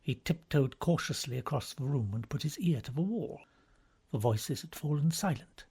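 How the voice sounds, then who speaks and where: A man's voice: on the high side, animated, normal speed, a little mellow, slightly rough. A man reads a novel aloud as scripted audiobook narration.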